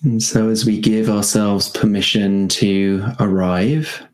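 A man with a low voice leads a guided meditation at a very slow pace. His delivery is gentle and spacious, with short phrases set apart by long pauses. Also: steady tone.

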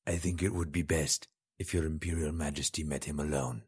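A man voicing a sly, menacing male potentate who speaks in a slow, gloating, hissing whisper. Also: very deep voice, steady tone, a little mellow, husky. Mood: solemn.

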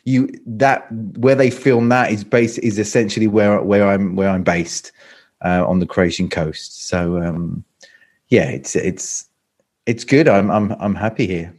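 A man's voice: on the low side, steady tone, unhurried, a little mellow. Hesitant British man on a video call. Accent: British English. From England, London. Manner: hesitant, many restarts and repeats. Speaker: guest, public speaking coach. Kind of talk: casual interview chat.

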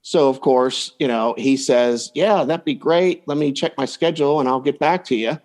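A man's voice: mid-range voice, moderate pace, darkish tone. A man speaking as a coach and podcast guest, giving advice in a casual, anecdotal way. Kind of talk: casual advice chat, telling client anecdotes.

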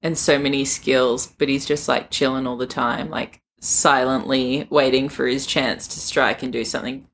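A woman's voice: rumbling low, steady tone, normal speed, hardly any pauses, a little hiss or hum. A woman co-hosting a podcast in casual chat, giggly, playful and opinionated. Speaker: book podcast co-host.

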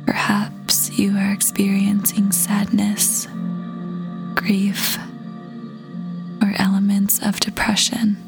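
A woman leading a guided meditation in a soft, breathy half-whisper, gentle and calm with long pauses. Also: medium pitch. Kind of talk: guided meditation, slow soothing narration. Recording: voice over prominent background music. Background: meditation music.

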